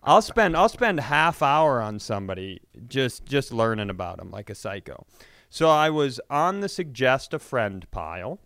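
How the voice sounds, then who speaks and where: Clear-voiced man telling casual comedic stories. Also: mid-range voice, very animated, moderate pace, a bit bright, no echo, clean studio sound. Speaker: comedy podcast host.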